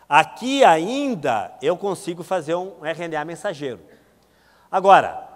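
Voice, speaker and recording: A Brazilian man giving a symposium lecture as a doctor, didactic and emphatic with informal asides. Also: fairly high pitch. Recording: reverberant hall.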